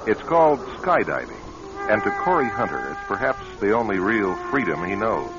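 Very deep, solemn male narrator. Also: very animated, moderate pace. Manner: solemn, portentous. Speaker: narrator of a suspense radio drama. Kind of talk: dramatic scripted narration. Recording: muffled radio recording. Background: dramatic music.

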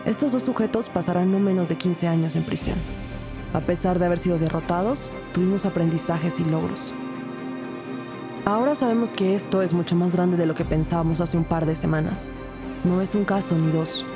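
A woman's voice: on the low side, close to an everyday voice. An actress playing a loyal, resolute female police detective in an audio drama, earnest and protective. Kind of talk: audio fiction acting and scripted narration.

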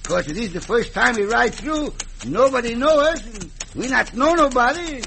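An actor playing a simple, excitable comic Mexican cowboy sidekick with a Mexican accent and broken English, in an old radio western. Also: male voice, very high-pitched, moderate pace, vocal fry, a little hiss or hum, full character voice. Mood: cheerfully naive. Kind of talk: old-time radio drama acting.

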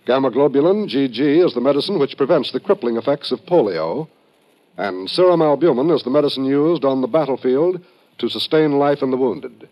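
An American man announcing on 1950s radio in a scripted, solemn, measured style, on a muffled vintage recording. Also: medium pitch, expressive.

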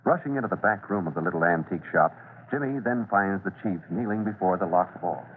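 A man's voice: low-pitched, fairly quick. Casting: male vintage radio serial announcer, declamatory and suspenseful, thin band-limited sound with faint hiss. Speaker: announcer and narrator. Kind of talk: dramatic old-time radio serial narration. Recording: noisy, tinny vintage radio recording.

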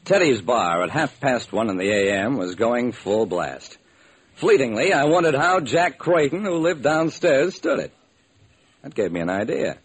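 A man's voice: mid-range voice, very animated, a little slow, slightly rough, exaggerated voice. A man acting as a hard-boiled sleuth narrating his case in an old-time radio crime drama, clipped and wry. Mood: wry, probing. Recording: noisy vintage radio recording.

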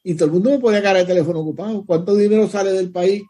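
An older man speaks in a fairly high but mellow, husky voice. He talks bluntly in a casual group chat, stalling and restarting as he hunts for words. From Puerto Rico. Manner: blunt, halting, repeats words. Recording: video call.

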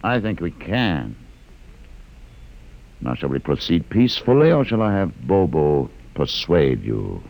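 A condescending starship captor. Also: male voice, extremely low pitch, sing-song, creaky, exaggerated voice. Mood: commanding. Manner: measured, commanding, scornful. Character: calm, condescending older male captor aboard a starship. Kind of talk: 1950s radio drama acting. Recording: vintage radio broadcast with hiss.

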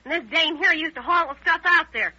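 A woman's voice: very high-pitched, a little fast, bright, forceful tone, heavily acted. An actress playing a tough, sarcastic gangster's girlfriend in an old radio crime drama, with an American accent and defiant, slangy delivery. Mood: sullen, sardonic. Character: tough, brassy, sarcastic young gangster's girlfriend under questioning. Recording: muffled, scratchy old radio broadcast.

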